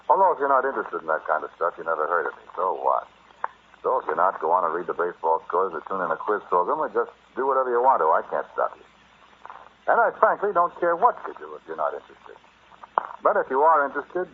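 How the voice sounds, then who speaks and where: Low-voiced sardonic American archaeologist, old radio. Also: male voice, narrow, tinny audio. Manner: conversational, sardonic, dismissive, addressing the listener directly. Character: brash, wealthy amateur archaeologist, sardonic adult man. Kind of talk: 1940s radio drama monologue. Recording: noisy, band-limited 1940s radio recording.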